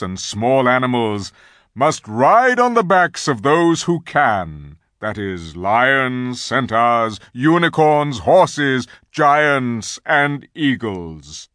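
A man voicing a noble lion leader in a storybook, loud, deliberate and commanding. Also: medium pitch, no echo, clean studio sound, voice pushed up, full character voice.

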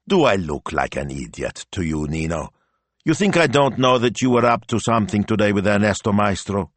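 Storybook matriarch, voiced by a male narrator: Italian grandmother, bossy, exasperated, snappy, lifted and highly animated in pitch. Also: moderate pace, no room sound. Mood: snappy scolding. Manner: scolding, snappy, emphatic.

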